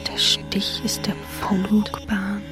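An actress playing a dreamlike inner voice in a radio drama, reciting poetic fragments in a measured, incantatory manner. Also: female voice, mid-range voice, monotone, in a whisper, music in the background, close to an everyday voice. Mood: hushed, incantatory.

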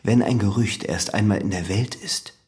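An actor playing a young examining magistrate, polite, measured and persistently probing in an audio drama interrogation. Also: male voice, fairly low pitch, darkish tone, natural voice. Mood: pressing, measured. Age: young adult.

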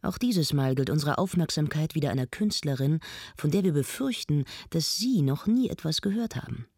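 Earnest, slightly husky documentary narrator. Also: female voice, deep voice, expressive, a little mellow, dry recording, studio quality, heavily acted. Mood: solemn welcome. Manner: measured, formal, literary. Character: earnest arts-feature presenter of a mock documentary. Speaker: feature narrator and presenter.

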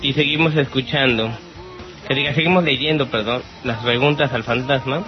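A man's voice: mid-range voice, fairly even intonation, moderate pace. A Mexican man with a playful, theatrical way of talking, chatting casually as a podcast host answering listener questions. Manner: theatrical, mock-exasperated, playful. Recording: dull lo-fi radio sound, music behind. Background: music.

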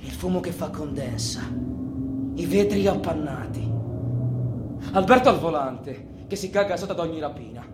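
A man playing a cocky, menacing robber who taunts his partner in crime in a theatrical monologue, swinging between loud bragging and quiet menace. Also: on the high side, lively intonation, moderate pace, long pauses, close to an everyday voice. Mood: nostalgic, mocking. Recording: echoey room, faint background noise.

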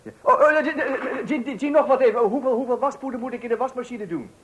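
Hoge, stamelende, zenuwachtige echtgenoot. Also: male voice, very high voice, quick pace, muffled recording. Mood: flustered, anxious. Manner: stammering, hesitant, repeating himself. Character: flustered, dithering husband anxious about running the household. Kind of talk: comic radio play acting.

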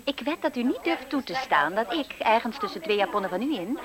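An actress playing a bold, scheming young housemaid in a 1970s radio play, teasing and challenging. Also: female voice, a little high, very animated, fairly quick, crowd noise. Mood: teasing, daring.

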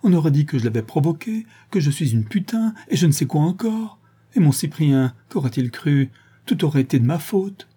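Frightened young servant woman, played by a male reader, pleading and husky. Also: on the high side, very animated, normal speed, dark tone, pitched above the performer's own voice. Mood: distraught. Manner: pleading, distraught, words tumbling out.

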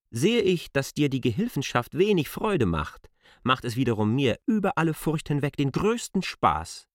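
An actor playing K, a stern and scheming land surveyor, threatening in a cold, carefully reasoned way in a radio play. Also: male voice, mid-range voice, no echo, clean studio sound. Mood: mocking. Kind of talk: German radio play acting.

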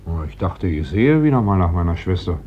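A man's voice: low voice, sing-song, fairly quick, a little mellow, lo-fi sound. A man playing a blunt, wily middle-aged uncle in a German radio play. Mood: evasive. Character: blunt, wily uncle seeking hidden rifles. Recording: noisy old radio play recording.

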